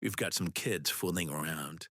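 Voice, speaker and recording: A woman's voice: extremely low pitch, moderate pace, a bit bright, no echo, studio quality, pitched below the performer's own voice. A woman voicing a friendly, chatty older male rink manager in an audiobook, with AI voice modification. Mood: genial, joking. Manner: folksy, chatty.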